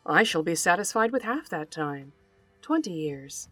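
A woman's voice: sing-song, unhurried, husky, dry recording, soft background music, heavily acted. A woman voicing a weary, put-upon donkey in a storybook tale, speaking plaintively in a raised voice. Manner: plaintive, higher and louder.

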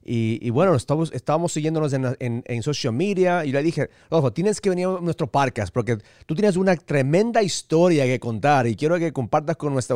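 Podcast host, male, voice a bit high, code-switches into English, very dry recording. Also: a little mellow, dry recording. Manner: mixes English words into Spanish. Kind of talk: podcast interview.

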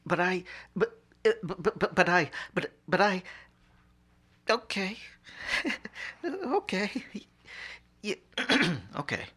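An actor playing a young art museum director, lovestruck and easily flustered, in an audio drama. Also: male voice, high voice, very animated, slow, pauses often, sharp tone, breathy edge, exaggerated voice. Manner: stammering, breathless when flustered. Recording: music under the voice.

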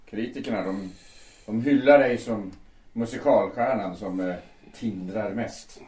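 A man acting a quiet, fixated male theatre makeup artist in an audio drama, speaking in a calm, measured and insistent way. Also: on the low side, reverberant, far from the mic, close to an everyday voice.

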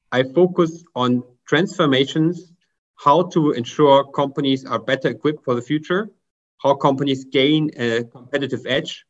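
German guy on a video call, mid-pitched, a bit muffled, kinda formal way of talking. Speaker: guest author, procurement consultant. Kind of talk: interview answers.

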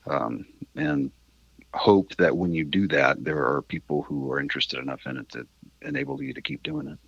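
A middle-aged man with a husky voice, chatting candidly and casually as an interview guest, rambling a little and swearing mildly. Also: a bass voice, very animated, normal speed, a bit bright, no echo, highs cut off. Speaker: interview guest, independent musician.

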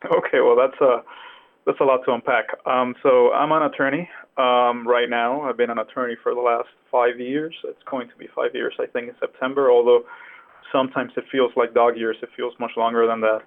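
A young man from Cuba talks through his answers in a level, unvaried tone, often pausing on ums and uhs. His voice comes through a thin, tinny phone line, and he sounds relaxed as an interview guest. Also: medium pitch, creaky. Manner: hesitant, many fillers. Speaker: guest attorney.

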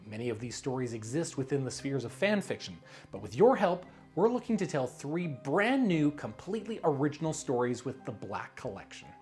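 A man reading a scripted promotional pitch as a creative director, with dramatic storyteller-like emphasis. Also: darkish tone.

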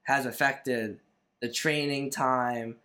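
A man's voice: mid-range voice, steady tone, takes it slowly, pushed and bright. A young man conducting a casual interview, informal and rambling, with lots of filler words as he works out his questions.

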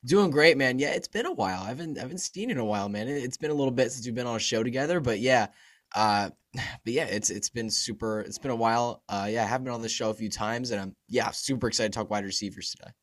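A young man, hoarse and froggy from a sore throat, chatting eagerly as a podcast guest and repeating himself. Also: mid-range voice, quick pace, fairly bright tone. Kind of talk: casual fantasy sports podcast chat.

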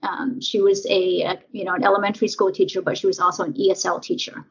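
A woman telling her life story in an interview, halting and full of fillers as she reflects. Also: medium pitch, little pitch movement, creaky, slight background noise. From Vietnam, raised in Nebraska. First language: English; Vietnamese basic. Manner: halting, many fillers and restarts. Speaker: interview guest. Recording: dull lo-fi audio.

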